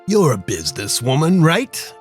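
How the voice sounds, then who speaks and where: A man playing a calm, self-assured crime boss in a noir audio drama, deliberate and condescending. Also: a little high, soft background music. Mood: smug, calculating.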